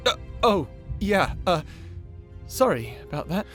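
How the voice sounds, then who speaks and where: A man's voice: sits high, very animated, takes it slowly, fairly bright tone, soft background music, exaggerated voice. A man playing a timid, awkward young wizard in a fantasy audio roleplay, earnest and apologetic, with a hesitant, stammering delivery. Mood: sheepish.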